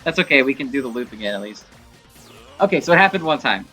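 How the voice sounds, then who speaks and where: A man giving casual, rambling commentary on gameplay in an informal, animated way. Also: mid-range voice, quick pace, slightly rough, soft background music. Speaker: gameplay showcase host.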